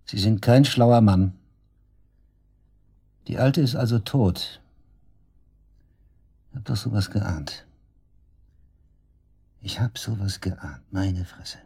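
Radio crime drama: cornered suspect, low and mellow-dark, crude slang, scornful, naturalistic. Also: male voice, takes it slowly, vocal fry, pauses often, slightly rough, exaggerated voice. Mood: agitated, indignant. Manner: sarcastic, crude, defiant, slangy. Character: brash, sneering male murder suspect under police questioning.

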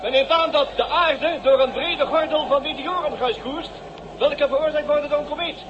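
Excited radio reporter in old drama, really high voice, muffled, huge crowd behind him. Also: male voice, normal speed. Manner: excited, declamatory. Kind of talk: live outside-broadcast commentary in 1960s radio drama. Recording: muffled, very noisy broadcast with crowd roar.

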